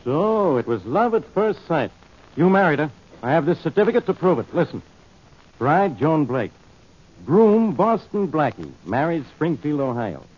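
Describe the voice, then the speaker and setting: Raspy, barking police inspector. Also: male voice, fairly high pitch, sing-song, normal speed. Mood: accusing. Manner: barking, blunt. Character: gruff, accusing, hard-headed male police inspector. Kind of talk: 1940s radio acting. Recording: dull old radio recording.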